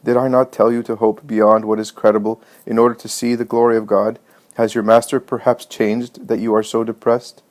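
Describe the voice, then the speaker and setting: A man's voice: fairly low pitch, little pitch movement, normal speed, a little mellow, lightly acted. A man reading a compassionate holy teacher in a story, speaking with solemn authority and searching questions. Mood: gentle consoling. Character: compassionate, commanding holy male teacher consoling mourners.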